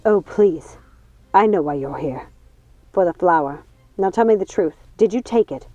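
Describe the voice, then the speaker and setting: A wounded witch from a storybook, acted out by a woman reader, voices bitter grievance in a mellow tone. Her pitch moves expressively as she accuses. Soft music sits under a slightly lo-fi recording. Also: expressive, moderate pace, no room sound. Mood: betrayed, accusing. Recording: dull lo-fi sound, music underneath.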